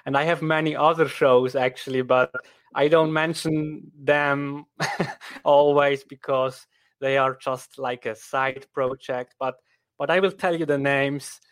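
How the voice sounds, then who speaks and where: Slow, halting Swiss German man. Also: mid-range voice, fairly even intonation, a bit bright. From Switzerland. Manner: halting, with frequent restarts and "right?" tags. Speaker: podcast guest. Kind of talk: casual interview chat.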